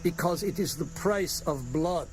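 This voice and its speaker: An actor playing a cold, dismissive temple priest in a dramatized scripture reading, with formal delivery. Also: male voice, fairly high pitch, unhurried, close to an everyday voice. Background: prominent music.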